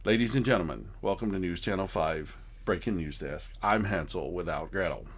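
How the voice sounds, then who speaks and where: A comedy host playing a showy, formal breaking-news anchor with deliberate announcer delivery in a parody broadcast. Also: low voice, husky, pitched below the performer's own voice. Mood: mock-serious.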